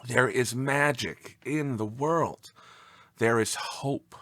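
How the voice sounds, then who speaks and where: A man voicing an earnest male schoolteacher in a storybook, addressing his class emphatically and deliberately. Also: mid-range voice, fairly bright tone, dry recording, voice pushed up. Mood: earnest, inspirational. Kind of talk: storybook character voice.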